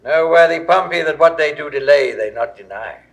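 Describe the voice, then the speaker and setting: An actor playing a sober, cautious Roman counsellor in a 1960s Shakespeare audio drama, speaking reasoned verse gravely. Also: male voice, fairly high pitch, brisk, close to an everyday voice. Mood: philosophical.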